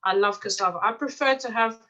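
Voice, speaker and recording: A bright, clear woman tells her stories in a relaxed, chatty way. She often restarts her sentences and fills pauses with "um" and "uh." Her pitch sits in the middle of the range. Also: dull audio. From Mozambique. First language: Portuguese. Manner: chatty storytelling, frequent fillers and restarts. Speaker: life coach and client. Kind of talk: testimonial interview, casual.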